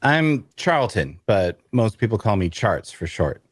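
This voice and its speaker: Guarded, grumpy male wanderer played by a man, unhurried and dry with wide pitch movement, close dry sound, fantasy audio drama. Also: big pitch swings, no echo. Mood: weary, reluctant. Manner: dry, curt, hesitant. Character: guarded, grumpy, evasive loner male traveler. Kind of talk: dark fantasy audio drama table read.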